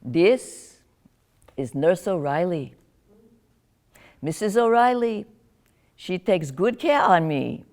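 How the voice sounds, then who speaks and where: A woman's voice: lowish voice, animated, slow, pauses often, a little mellow. Woman playing wry old grandmother. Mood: chatty, teasing. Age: older. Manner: gossipy, teasing, drifting into confusion. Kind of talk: one-woman stage monologue.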